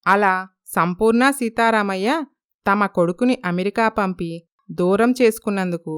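Clear-voiced woman storyteller. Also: mid-range voice, normal speed, dry recording, clean studio sound. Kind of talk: scripted moral story narration.

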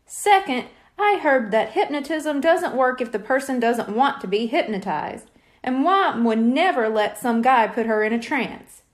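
A woman's voice: high-pitched, animated, a little slow. A woman voicing a tidy, sensible young boy who teases, with a matter-of-fact manner, in a children's storybook.

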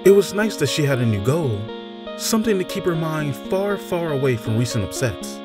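A man's voice: a little high, sing-song, normal speed. Male storybook narrator over music. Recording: music behind the voice. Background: background music.

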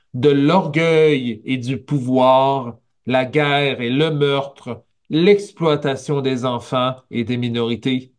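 A man with a Québécois French accent delivers a sermon at an unhurried pace, pausing between phrases and lifting his voice for emphasis. His pitch sits in a middle range, and he speaks into a headset mic on a video call from a small home office. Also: darkish tone. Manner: slow, measured preaching with pauses and rising emphasis. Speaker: pastor.

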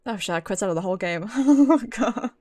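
A woman's voice: mid-range voice, animated, brisk, darkish tone. A British woman streamer chatting casually and expressively with her viewers, swearing freely. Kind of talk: casual livestream chat. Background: background music.

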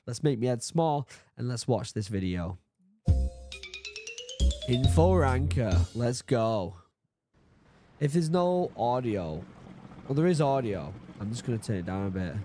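A man in his thirties from the UK, a bit hoarse from being sick, chatting casually as he reacts to a video and reads labels aloud, excited now and then. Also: medium pitch, measured pace, pauses often, music in the background. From United Kingdom. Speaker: YouTube reaction host. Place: home study with bookshelves. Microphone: studio mic on boom arm, headphones.

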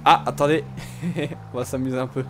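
A man's voice: mid-range voice, steady tone, fairly quick, vocal fry, background noise. A man playing a video game live, chatting casually in a playful, teasing, slangy way. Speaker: gamer, video creator. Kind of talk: casual live gameplay trolling chat.

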